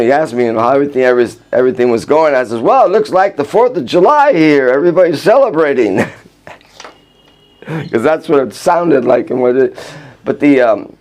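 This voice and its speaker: An older man from the United States, telling personal stories in a halting, rambling way with restarts and chuckles. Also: medium pitch, darkish tone. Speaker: vlogger recounting his life. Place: outdoors in Vrindavan.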